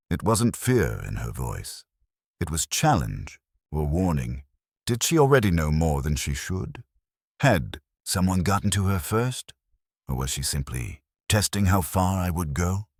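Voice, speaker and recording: A man reading a scripted dramatic first-person story as narrator, in a measured, solemn manner. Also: sits low, dry recording, clean studio sound.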